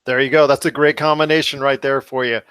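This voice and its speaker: A man's voice: mid-range voice, flat delivery, fast talker, fairly bright tone, clean tone, no room sound, studio quality. A man hosting a podcast in a casual interview style, with wordy, rambling phrasing. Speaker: podcast host.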